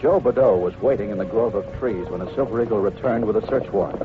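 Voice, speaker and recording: Brisk old-time radio western narrator, male. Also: lowish voice, phone-quality sound, over music. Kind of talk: 1950s radio drama scripted narration. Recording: noisy, band-limited vintage radio recording.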